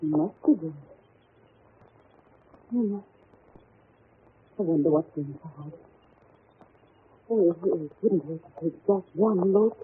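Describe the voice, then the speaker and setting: A woman's voice: fairly low pitch, slow, pauses often, breathy edge, narrow, tinny audio, full character voice. An actress playing a polite, anxious young woman working in a frightening household, in old-time radio drama style, timid and breathless. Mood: uneasy, frightened. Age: young adult. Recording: thin, noisy vintage radio recording.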